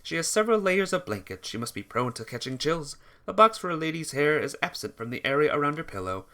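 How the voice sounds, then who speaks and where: Male narrator, higher-pitched voice, animated intonation, amateur story read-aloud, occasional stumbles. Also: quick pace. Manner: stumbles over words and rereads phrases. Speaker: narrator reading a visual novel aloud.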